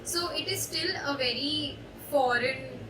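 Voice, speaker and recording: A young woman with an Indian English accent, her voice a little high, talks through her story in a thoughtful way and stops often to hesitate. She sounds set back from the mic in an echoey room, with steady noise underneath. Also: measured pace, far from the mic. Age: young adult. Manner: reflective, hesitant with many uh fillers. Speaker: student intern. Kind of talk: personal testimonial.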